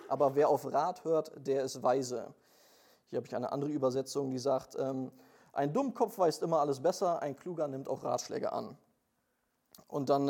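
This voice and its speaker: Hesitant young preacher with a mellow voice. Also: male voice, medium pitch. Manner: hesitant, many fillers, plain earnest delivery. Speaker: lay preacher and missionary. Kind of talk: informal church sermon with prayer.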